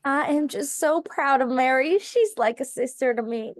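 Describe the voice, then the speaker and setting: A woman's voice: high voice, moderate pace, lightly acted. A woman playing a catty, snide young Southern belle beauty queen at a script table read. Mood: sugary. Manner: snide, two-faced.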